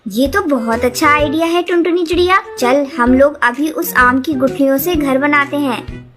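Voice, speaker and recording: A high-pitched mother bird, voiced by a woman, brisk and motherly with a nagging edge. She speaks as in a cartoon story, over background music. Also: natural voice. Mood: encouraging. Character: worried, scolding mother bird, adult female. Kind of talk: children's cartoon story dialogue.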